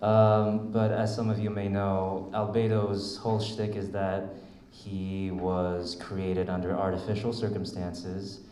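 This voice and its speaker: A man from Vietnam answering a fan's question at a convention panel, reflective and unhurried with many fillers. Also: lowish voice, warm tone. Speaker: voice actor panelist. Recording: echoey hall, faint noise.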